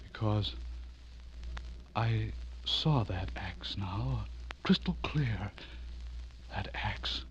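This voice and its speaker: A man's voice: lowish voice, slight background noise. An actor playing a frightened, unravelling man who narrates his own horror tale in melodramatic 1940s radio style. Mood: hushed horror. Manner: melodramatic, haunted, swinging from hushed to frantic. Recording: dull old radio recording under loud music.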